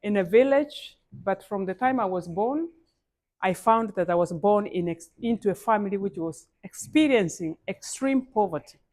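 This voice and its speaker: A woman with a Kenyan accent delivers a motivational talk as a guest speaker. Her voice sits at a medium pitch, and she is emphatic, repeating key phrases and addressing her listeners directly. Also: lively intonation, normal speed, a little mellow. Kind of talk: motivational speech. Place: speaking live at a conference event.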